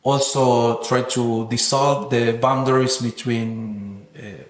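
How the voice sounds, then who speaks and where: Male interview guest, reflective and philosophical artist type, average pitch, noticeable room echo. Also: steady tone, slow pace. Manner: thoughtful, earnest, philosophical. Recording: roomy echoing sound.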